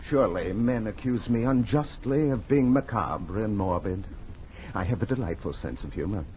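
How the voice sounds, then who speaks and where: A man's voice: lowish voice, lively intonation, moderate pace, a little mellow, lightly acted. An actor playing a wry, macabre author introducing his tales in a 1940s radio drama. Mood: wryly aggrieved. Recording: muffled, noisy 1940s radio recording.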